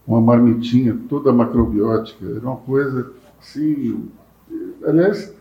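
A man's voice: medium pitch, moderate pace. A man hosting a panel interview, telling a joking anecdote. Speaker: host and interviewer. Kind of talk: casual interview chat. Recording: roomy, dull sound.

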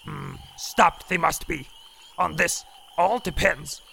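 Croaky ancient little alien master. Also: male voice, on the high side, sharp tone, slight background noise, exaggerated voice. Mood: stern, urgent. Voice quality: gravelly, croaky. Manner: inverted word order, slow deliberate speech. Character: ancient small wise male alien Jedi master, stern mentor. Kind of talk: audio drama acting.

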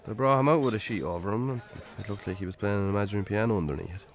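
An actor with an Irish accent playing a weary, lonely farmer in a naturalistic radio drama, plain-spoken and wry. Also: male voice, fairly low pitch, very fast, natural voice. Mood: sombre, matter-of-fact.

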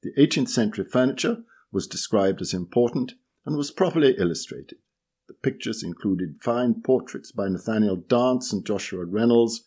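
A man reading a magazine article aloud in measured narration, with lively, varied intonation through long lists. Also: lowish voice, slightly rough.

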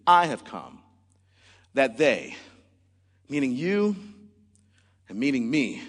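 A man's voice: on the high side, in a large hall. A man in his forties preaching a sermon in earnest, often opening with "man" or "look". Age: 40s. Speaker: pastor.

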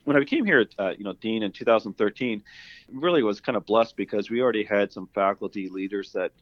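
A man's voice: lowish voice, fast talker, no room sound. A middle-aged man with a Canadian accent answering interview questions conversationally, rambling with plenty of "you know" and "kind of". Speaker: guest, university pharmacy dean. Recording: dull, lo-fi voice track.

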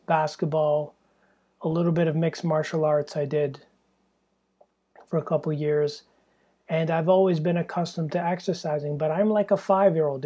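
A young man hosting a solo podcast, tired and hoarse from losing his voice, talking in a rambling, candid way with plenty of swearing. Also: fairly high pitch, mellow. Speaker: podcast host. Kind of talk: casual solo podcast monologue. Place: small stuffy indoor room.

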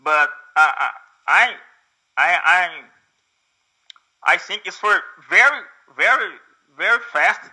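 Hesitant Brazilian man, bright voice. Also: sits high, animated, slow pace, pauses often, breathy edge, low-quality recording. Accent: Brazilian Portuguese. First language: Portuguese. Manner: hesitant, many fillers and restarts, searching for words. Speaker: guest, English learner. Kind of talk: casual conversation.

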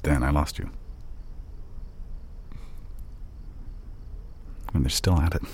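An actor playing an awkward, gruffly kind film director in a naturalistic audio drama, hemming and hesitant but blunt. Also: male voice, rumbling low, fairly even intonation, quick pace, darkish tone, slight background noise. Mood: dry, guarded. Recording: outdoor urban scene with crowd bustle.

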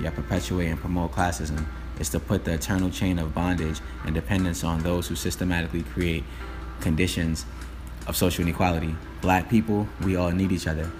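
A man giving a steady, declamatory spoken-word speech with a repeated refrain. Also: deep voice. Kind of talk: recorded spoken-word speech over music. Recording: speech over loud music bed.

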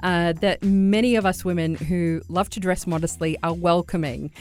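A woman's voice: lowish voice, fairly even intonation, moderate pace, a little mellow, soft background music. A woman hosting a radio interview show, introducing and questioning a guest in an enthusiastic way with some fillers. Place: radio studio.

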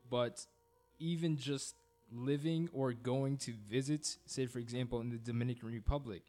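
A man from New Jersey talks plainly on a podcast, sharing news and his own experience in a matter-of-fact tone. Faint music sits quietly behind his voice. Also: mid-range voice, moderate pace. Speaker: podcast co-host. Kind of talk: casual podcast discussion.

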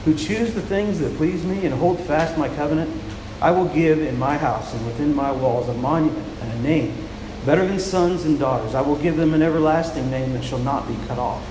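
A man preaching a sermon, soft-spoken and often repeating words as he restarts a thought, after reading scripture aloud. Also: on the high side, fairly quick, hardly any pauses. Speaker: pastor. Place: church with a large echoing room. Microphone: handheld mic. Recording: echoey, reverberant hall with noise.

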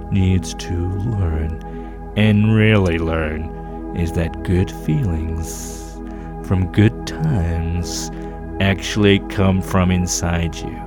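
A man doing a deep, slow hypnotherapist. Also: exaggerated voice. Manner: slow, hushed, calming guided-imagery delivery. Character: soothing male hypnotherapist guiding relaxation. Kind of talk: comic parody guided meditation. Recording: new-age music bed behind voice. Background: soft new-age music.

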